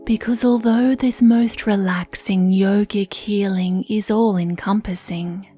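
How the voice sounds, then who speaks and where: A woman guiding a sleep meditation from a script in a soothing, hushed, breathy way, with long pauses. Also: medium pitch, fairly even intonation, faint music behind. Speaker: meditation guide.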